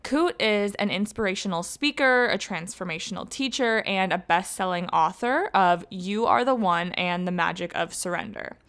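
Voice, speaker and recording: A woman's voice: mid-range voice, moderate pace, few pauses, fairly bright tone. A woman hosting a podcast, reading a guest introduction and then asking questions in a chatty, upbeat way. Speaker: podcast host. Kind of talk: podcast interview, scripted intro.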